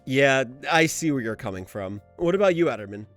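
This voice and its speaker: A man's voice: mid-range voice, animated, brisk, fairly bright tone. A man hosting an anime lore video, delivering scripted commentary in an emphatic way. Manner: emphatic, exasperated asides, swearing. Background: background music.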